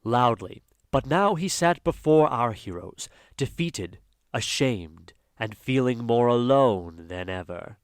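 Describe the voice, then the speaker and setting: A man's voice: medium pitch, lively intonation, slow, no room sound, clean studio sound. A man reading dramatic scripted narration as the narrator of an audio drama.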